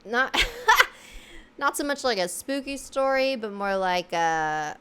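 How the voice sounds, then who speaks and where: A woman's voice: medium pitch, expressive, slow, a bit bright, clean tone. A young woman hosting, casually reading out viewer stories with giggles and lots of fillers between them. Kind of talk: casual read-aloud storytelling.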